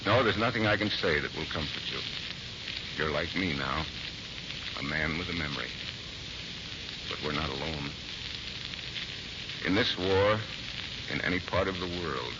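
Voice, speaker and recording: An actor playing a steady, grave Marine major in a 1940s radio drama, speaking with measured authority. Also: male voice, a bass voice. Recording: muffled, crackly 1940s radio recording, heavy hiss.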